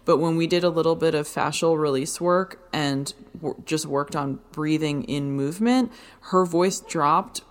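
Low-voiced woman chatting on a podcast. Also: unhurried. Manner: rambling, many fillers, laughs easily. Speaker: podcast co-host, fitness and dance teacher. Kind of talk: casual podcast chat.

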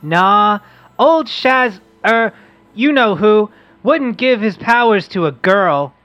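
An adult playing an excitable, wholesome boy who hosts a radio quiz, read in the manner of an old comic-book radio play. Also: mid-range voice, slow, no echo. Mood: eager.

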